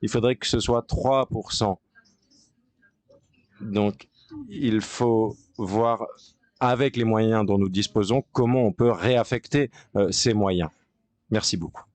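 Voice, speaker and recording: A man interpreting a panel discussion in real time from an interpreter's booth, with a fairly low voice. He talks in halting bursts, pausing and restarting as he keeps up with the speakers. The sound is dry and close, with no room around it. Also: a little mellow, dry recording. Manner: halting delivery with frequent pauses and restarts. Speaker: conference interpreter.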